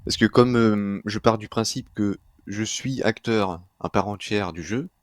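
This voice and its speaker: A man from France, a guest in a casual discussion, calmly explaining his reasons. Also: on the low side, unhurried, dry recording, clean studio sound.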